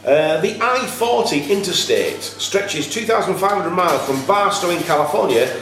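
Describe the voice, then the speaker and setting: A man's voice: high-pitched, animated, moderate pace, fairly bright tone, faint music behind. A man acting as quiz master, reading questions aloud to contestants in a live quiz. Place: echoey indoor room at a cricket club. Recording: echoey room, faint background noise.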